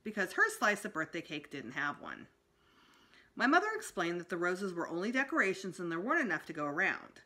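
A woman's voice: lowish voice, very animated, fairly quick, bright, forceful tone. A middle-aged woman reading a children's book aloud expressively, as for a school storytime. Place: small indoor room at home.

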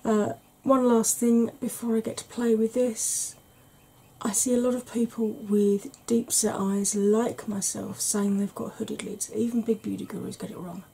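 A woman in her forties, a makeup vlogger, talking through an ASMR makeup tutorial slowly and gently in a soft, breathy voice that often drops to a whisper, recorded at home. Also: fairly high pitch, little pitch movement, slight background noise, poor audio. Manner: slow, gentle, patient explaining. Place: small home room.

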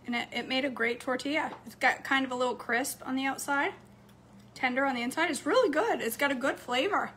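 A woman, chatting casually to her viewers from a home kitchen, slightly hoarse. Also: high voice, a little fast, fairly bright tone, a little hiss or hum. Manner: chatty, upbeat, talks straight to camera. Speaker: cooking vlogger. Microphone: phone camera close up on a counter.

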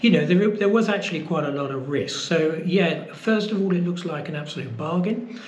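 A man's voice: a little high, moderate pace. A man, casually explaining costs to camera with plenty of fillers. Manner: relaxed, explaining with many uh fillers. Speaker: boat-building vlogger. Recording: echoey room with faint noise.

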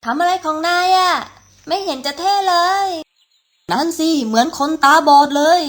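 A woman's voice: high voice, expressive, moderate pace. A woman voicing a sensible cartoon girl who disapproves of her friend, speaking in a scolding way. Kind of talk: cartoon voice acting.

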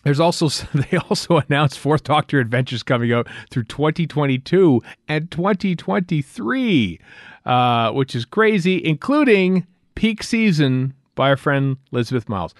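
A man hosting a podcast in casual chat, speaking haltingly with many ums and pauses as he runs through news. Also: fairly high pitch, lively intonation, no room sound, studio quality. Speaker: podcast host.

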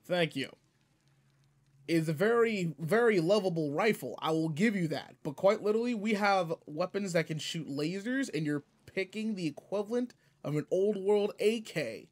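A man's voice: sits high, animated, moderate pace. A man playing a seasoned but laid-back space guardian mentor in a video game roleplay, casual, slangy and wry as he talks to a partner. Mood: teasing, instructing. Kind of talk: video game roleplay audio, in-character monologue.

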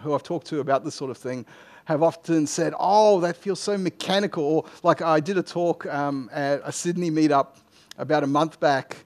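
Man, middle-aged, slightly high voice, conference speaker, self-deprecating, halting delivery, headset mic. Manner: self-deprecating, halting, often rephrasing mid-sentence. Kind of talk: informal tech talk, answering audience questions. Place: indoor lecture room, on stage at a lectern. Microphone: headset microphone.

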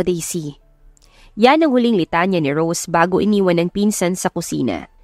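A woman's voice: medium pitch, a little mellow, no room sound, studio quality. A woman narrating a scripted romance story in a dramatic audiobook style. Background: music.

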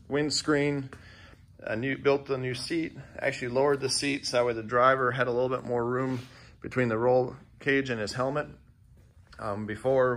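A man with a mid-range voice walks through a big metal fabrication shop, explaining each project in a relaxed, plainspoken way. He talks off the cuff with plenty of "uh"s and "um"s. The sound is a handheld phone recording in a large indoor workshop. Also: mid-range voice. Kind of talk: casual shop walkthrough.

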